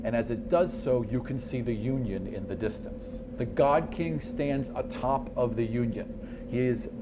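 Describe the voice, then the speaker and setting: A man's voice: mid-range voice, unhurried, heavy background noise. A man acting as game master, narrating a tabletop fantasy game in descriptive, improvised second-person storytelling. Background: prominent music.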